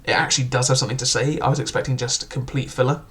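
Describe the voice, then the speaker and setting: A man's voice: medium pitch, flat delivery, rapid-fire, fairly bright tone. A young man casually reviewing a book, chatty and loose, with frequent filler words and restarts.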